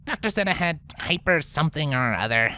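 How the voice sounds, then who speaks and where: A woman's voice: sits low, animated, fairly quick, sharp tone, no room sound. A woman voicing a brash, sulky young female cartoon pegasus who grumbles in cocky slang. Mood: casual, stubborn. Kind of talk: character acting in a fan-fiction story reading.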